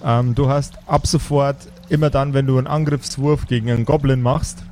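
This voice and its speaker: A man running an improvised tabletop game as game master, speaking haltingly as he searches for words. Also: medium pitch, fairly even intonation, darkish tone, slight background noise.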